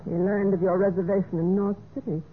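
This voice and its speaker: A woman's voice: medium pitch, little pitch movement, lightly acted. Lady acting a betrayed wife, eerily composed and wistful, theatrical old time radio, thin sound, bit of noise. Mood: cool, matter-of-fact. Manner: measured, deliberate, theatrical delivery. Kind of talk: 1940s-50s radio mystery acting. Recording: tinny, noisy old radio recording.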